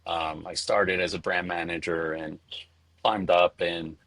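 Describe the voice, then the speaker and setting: A middle-aged man from Venezuela answering interview questions conversationally, in a halting way, repeating words as he thinks. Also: low-pitched, steady tone, fairly bright tone, no room sound. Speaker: interview guest, spirits entrepreneur.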